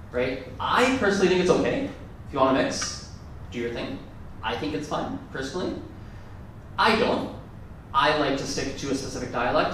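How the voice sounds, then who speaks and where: A man's voice: medium pitch, a bit bright, slight background noise. Chatty man speaking in an echoey hall. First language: Gujarati. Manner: chatty, rambling, self-correcting. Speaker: conference speaker. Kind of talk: casual conference talk. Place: large conference room. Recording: echoey hall, mic from far off.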